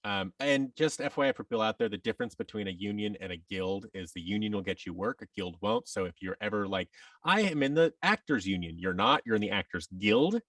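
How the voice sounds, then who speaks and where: A man's voice: on the low side, expressive, fairly quick, fairly bright tone, no room sound, distant mic. A man giving advice as a podcast guest in a casual, rambling, emphatic way, often voicing imagined quotes as he explains.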